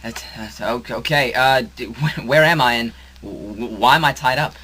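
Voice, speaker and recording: Man playing a bright-voiced, flustered everyman hero. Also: medium pitch, moderate pace, breathy edge. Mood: nervous, confused. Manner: stammering, mild, flustered. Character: hapless everyman superhero, bewildered and polite.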